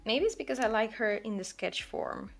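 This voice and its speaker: A woman from Portugal with a Portuguese accent, speaking English as a non-native language, chatting casually and quickly while she draws on a livestream, full of "like" and self-corrections. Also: on the high side, huge pitch swings, vocal fry, soft background music. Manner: fast-talking, rambling, with frequent "like" and self-corrections. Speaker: artist streaming a drawing session.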